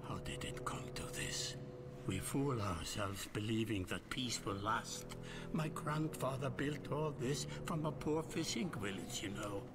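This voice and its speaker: A man playing a weary, embattled ruler of a Norse fantasy city in a video game cutscene, speaking gravely and with measured weight. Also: mid-range voice, expressive, close to an everyday voice. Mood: grim, reflective. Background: music.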